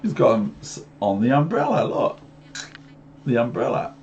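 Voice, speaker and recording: Animated New Zealand man reading to children. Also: mid-range voice, normal speed, muffled recording. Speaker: storybook reader. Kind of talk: animated read-aloud for young children with chatty asides.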